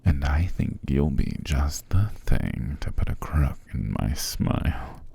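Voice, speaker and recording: A man playing a cruel, haughty, possessive vampire master in an ASMR roleplay, with a gravelly, breathy voice and a condescending, commanding manner. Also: extremely low pitch, big pitch swings, mellow, exaggerated voice. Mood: coldly amused, menacing. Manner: slow, condescending, commanding, drifting into whispers. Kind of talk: ASMR roleplay, scripted character monologue.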